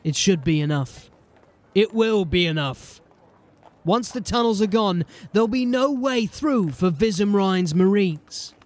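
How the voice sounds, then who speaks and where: An actor playing a gruff, resolute sergeant of a fantasy city watch in an audio drama, giving clipped, commanding orders with dry sarcasm. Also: male voice, high-pitched, animated, unhurried.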